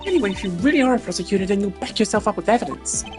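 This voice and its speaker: A man plays a stern, haughty game prosecutor with a wide, theatrical swing in pitch. He speaks formally and commandingly, pompous and sharp-tongued, over clear background music. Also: expressive, rapid-fire. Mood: haughty, challenging. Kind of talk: theatrical voice acting of video game dialogue. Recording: music behind the voice.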